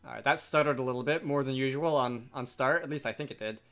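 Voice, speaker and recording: Guy rambling casually over a video game, bright voice, bit of music under him. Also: mid-range voice, fast. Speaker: video game let's player. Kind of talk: casual gameplay commentary mixed with reading dialogue aloud.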